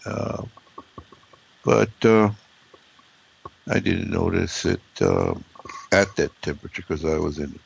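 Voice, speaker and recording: A man with a hoarse, froggy voice, chatting loosely as a regular guest and rambling with many ums and uhs. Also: low-pitched, lively intonation, slow, pauses often, muffled recording. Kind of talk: casual conversation.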